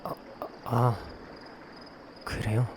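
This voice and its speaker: A man's voice: extremely slow, noisy background. A man playing a guarded young investigator in a visual novel, narrating and talking in a subdued, hesitant way. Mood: hesitant, polite. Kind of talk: scripted first-person narration and dialogue. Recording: clear night insect ambience behind voice.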